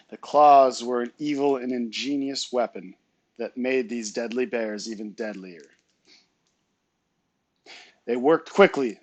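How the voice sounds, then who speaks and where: Male read-aloud narrator, expressive and dramatic, middling pitch, close dry sound. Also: no room sound. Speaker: teacher reading aloud. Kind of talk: children's novel read-aloud.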